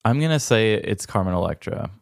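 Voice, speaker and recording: A man's voice: fairly low pitch, lively intonation, a little fast, vocal fry, no echo, studio quality. A man co-hosting a comedy podcast, chatting casually with a playful, wry manner. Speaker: podcast co-host.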